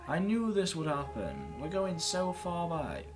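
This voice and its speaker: Sweary male gamer, lively intonation. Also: on the high side, measured pace, over music. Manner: sweary, muttering reactions to the game. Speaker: gaming video host. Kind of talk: casual let's play commentary.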